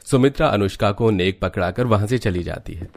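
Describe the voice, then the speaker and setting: A man serves as narrator for a cartoon family tale. His voice is on the low side, and he delivers scripted storytelling narration. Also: brisk, a little mellow.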